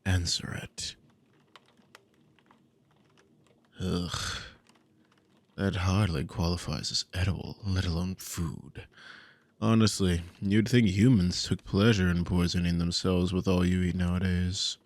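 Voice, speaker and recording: A man's voice: low voice, level tone, a little slow. A man playing a dominant, possessive demon in an ASMR roleplay, mockingly amused and condescending, with teasing, formal, theatrical speech. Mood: stern, commanding. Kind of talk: ASMR audio roleplay spoken to the listener.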